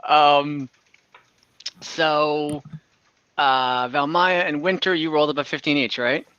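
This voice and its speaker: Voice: medium pitch, fairly even intonation, takes it slowly, a bit bright, clear, resonant tone, dry recording, dull audio. A game master running an online fantasy tabletop game, improvising narration and thinking aloud in a casual, easygoing way. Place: online voice call.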